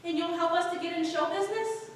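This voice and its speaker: A woman's voice: very high voice, quick pace. A woman playing an eager, anxious young aspiring performer in a staged play reading, earnest and questioning. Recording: very echoey room, distant mic, faint noise.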